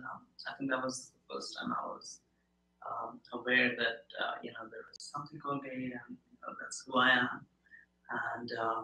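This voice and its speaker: A man's voice: mid-range voice, bright, forceful tone. A man in his thirties with an Indian accent, talking casually and reflectively about himself as an interview guest, with hesitant phrasing. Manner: reflective, hesitant phrasing with many "you know". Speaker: podcast guest, product manager. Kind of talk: casual interview, personal account. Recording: echoey room.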